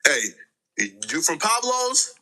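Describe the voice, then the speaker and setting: A man with a very sharp, bright voice tells street stories with swooping pitch. His delivery is dramatic and slangy, and he acts out what people say. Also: very animated, takes it slowly, rough recording. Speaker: content creator. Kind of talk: animated street-news storytelling.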